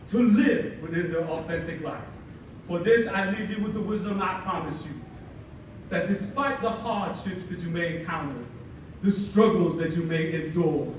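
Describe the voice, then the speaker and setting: A young man from the Philippines, a native Tagalog speaker, delivers a playful, energetic keynote with a fairly high voice. The sound carries heavy hall echo, is picked up from some distance, and has clear room noise behind it. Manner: energetic, playful storytelling with dramatic pauses. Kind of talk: ceremonial keynote speech. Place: large hall at a ceremony. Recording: echoey hall, mic far off, audible room noise.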